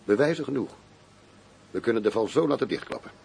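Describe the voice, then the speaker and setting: A man acting a determined police commissioner in a radio play, speaking in a firm, measured manner. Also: mid-range voice, expressive, gravelly, darkish tone. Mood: businesslike. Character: determined foreign police commissioner working undercover, male. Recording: faint noise, radio play recording.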